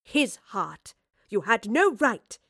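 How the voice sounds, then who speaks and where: A woman's voice: on the high side, sing-song, takes it slowly, fairly bright tone, husky, no room sound, studio quality, exaggerated voice. A woman voicing a bold, witty female professor and adventurer with an arch, genteel, commanding manner in an audio drama. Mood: incredulous, tart.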